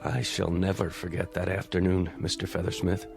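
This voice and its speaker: A man's voice: sits low, level tone, normal speed, gravelly, music in the background. An actor playing a stern, embittered old businessman in an audio drama, speaking formally with precise, disdainful diction. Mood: contemptuous.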